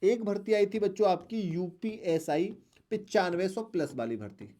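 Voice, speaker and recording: A man's voice: high voice, expressive, moderate pace, dark tone. Male coaching instructor. Directs students step by step, restates key points, addresses them fondly. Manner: addresses students affectionately, instructive, repeats points, asks rhetorical questions. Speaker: maths teacher for exam preparation. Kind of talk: online coaching lesson, strategy talk to students.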